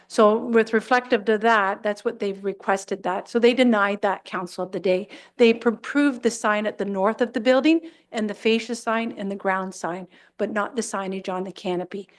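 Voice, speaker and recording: A woman on municipal staff explaining bylaw rules at a council meeting in a procedural way with frequent fillers, speaking into a desk microphone in a council chamber. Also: mid-range voice.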